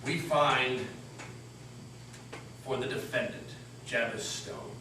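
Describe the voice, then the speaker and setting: A man's voice: mid-range voice, steady tone, slow, bright, forceful tone. A man playing a proud, bold dead army major, formal and solemn, in a dramatic stage reading. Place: old meeting house, live performance. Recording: very echoey hall, distant mic, clear noise.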